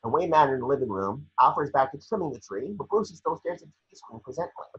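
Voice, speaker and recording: Husky man reading scene descriptions. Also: medium pitch, lively intonation, quick pace, dull audio. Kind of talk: scripted narration at an online table read.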